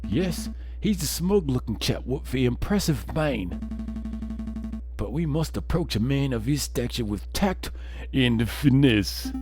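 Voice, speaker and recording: Gentlemanly bird attorney, voiced by a man, earnest and formal, game dialogue with varied intonation over music. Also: medium pitch, lively intonation, unhurried, close to an everyday voice. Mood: knowing.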